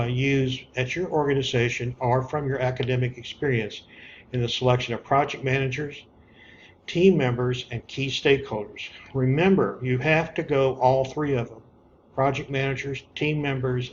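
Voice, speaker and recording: Male course instructor, mid-pitched, informal and instructive, walking through material on screen, dull home-style recording. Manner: plainspoken, instructive, repeats key points. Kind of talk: informal screen-share walkthrough of an assignment. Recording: dull lo-fi audio with background noise.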